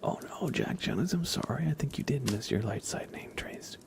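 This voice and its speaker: A man chatting softly as an ASMR livestream host, in a gentle hushed voice that often drops into whispers. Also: medium pitch, huge pitch swings, normal speed, creaky. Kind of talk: soft-spoken ASMR livestream chat.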